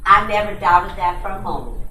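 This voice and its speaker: A woman playing a cold, conniving bad-girl gangster in an old-time radio detective drama, taunting and deliberate. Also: mid-range voice, bright, forceful tone, close to an everyday voice. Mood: smug, menacing. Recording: echoey room from a distance, faint noise.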